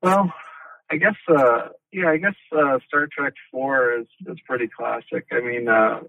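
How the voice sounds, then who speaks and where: A man's voice: mid-range voice, measured pace, gravelly, dry recording. A man answering interview questions over a call, hesitant, with lots of restarts and fillers. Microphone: phone call. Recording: tinny, distant phone line.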